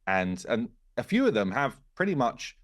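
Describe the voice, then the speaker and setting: A man speaking as a game studio co-founder in a casual podcast conversation, telling things in an animated way and voicing quoted remarks. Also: medium pitch, moderate pace, dry recording.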